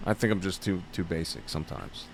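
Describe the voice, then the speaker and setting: Excitable podcast host, swears freely. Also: male voice, low-pitched, fairly quick, creaky, a little hiss or hum. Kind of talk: casual podcast chat.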